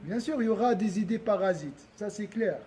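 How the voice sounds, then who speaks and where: Male religious teacher, high-pitched but mellow in tone, talking to a room, checks in with listeners, loops back on his words. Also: lively intonation, brisk. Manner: questions the audience often, repeats and restarts phrases. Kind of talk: religious lesson to a gathering.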